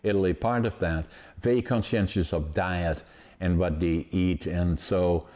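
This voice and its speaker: A man's voice: sits low, steady tone, a little slow, dark tone. A man in his eighties from the Netherlands with a Dutch accent, hosting a conversational interview and telling stories in a rambling, emphatic, positive way. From Netherlands, northeastern Groningen province. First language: Dutch dialect of Groningen. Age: 80s. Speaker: podcast host.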